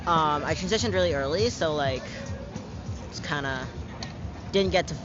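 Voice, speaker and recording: A man's voice: fairly high pitch, lively intonation, normal speed, muffled recording, music in the background. A young man in his late teens, answering a street interviewer candidly, with lots of fillers and casual swearing. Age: young adult. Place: outdoors in a city park.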